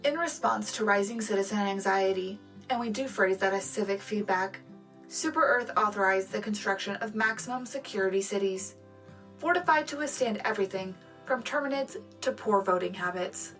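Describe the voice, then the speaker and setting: A woman's voice: mid-range voice, normal speed, a bit bright, soft background music, natural voice. A woman playing a polished, upbeat propaganda radio co-anchor, delivering scripted satirical news with tongue-in-cheek official euphemism. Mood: prim, upbeat. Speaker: radio co-host.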